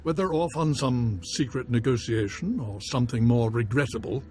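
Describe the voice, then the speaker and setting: A man's voice: medium pitch, moderate pace, darkish tone, a little hiss or hum, lightly acted. A man playing a gracious noble baron in a video game, speaking in formal, courtly phrases.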